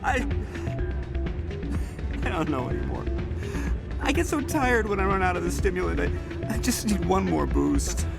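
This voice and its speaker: An actor playing an anxious, desperate male negotiator hooked on stimulants, with a halting, pleading delivery in a dramatic video game style. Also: high-pitched, big pitch swings, creaky, exaggerated voice. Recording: loud music over the voice.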